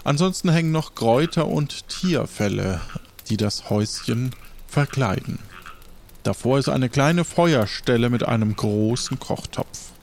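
Slow, lively-pitched male game master. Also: vocal fry, slight background noise. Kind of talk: improvised tabletop game narration.

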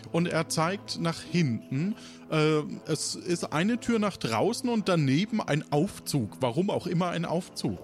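A man acts as game master, describing scenes for an improvised audio play. He is slightly high-pitched and slow, feeling for words over faint music. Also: lively intonation.

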